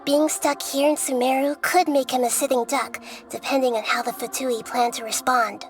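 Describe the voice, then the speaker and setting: A woman playing a young goddess of wisdom with a high, gentle voice. She explains calmly and thoughtfully, measuring every word. Soft music plays faintly underneath. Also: moderate pace, close to an everyday voice. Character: young-looking female god of wisdom, calm and gentle. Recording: game audio with faint music.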